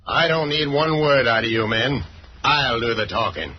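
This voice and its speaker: A man's voice: mid-range voice, animated, moderate pace, natural voice. Muffled noisy vintage radio, male actor as vicious western gang leader, commanding, menacing, accusing. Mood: angry, commanding. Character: ruthless, vicious male outlaw boss plotting a takeover. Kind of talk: old-time radio western acting. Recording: dull, noisy old radio recording.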